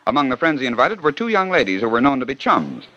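A man narrating a scripted radio program with brisk, wry storytelling. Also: mid-range voice, very animated, background noise. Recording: dull 1940 radio recording.

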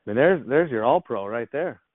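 A man's voice: mid-range voice, animated, brisk, no room sound. A man co-hosting a sports podcast, chatting and joking with his partner. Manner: joking, banter. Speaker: podcast co-host. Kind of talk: casual sports podcast chat. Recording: tinny phone-like dry sound.

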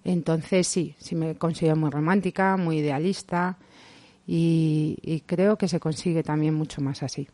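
Young spanish woman being interviewed, thoughtful and open, lots of little pauses, dry close sound. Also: low-pitched, steady tone, dark tone, no echo. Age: young adult. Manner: reflective and open, pauses often with hesitations. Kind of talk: personal memories in casual conversation.

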